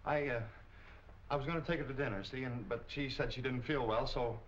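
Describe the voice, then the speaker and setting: Young accused man, male actor, earnest and defensive, medium pitch, halting melodrama, dull vintage film sound. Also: slight background noise, close to an everyday voice. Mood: shaken. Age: young adult. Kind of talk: 1940s crime film acting. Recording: muffled old film soundtrack.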